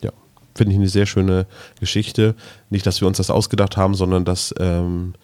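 Guy podcast host, lowish voice, chatty, kinda searching for words as he goes. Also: level tone, moderate pace, a little mellow. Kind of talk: casual chat.

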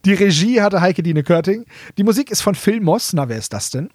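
A man's voice: high-pitched, expressive, brisk, no echo, studio quality. A man co-hosting a podcast in casual, chatty conversation about radio plays. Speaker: podcast co-host. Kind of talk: casual chat about a radio play series.

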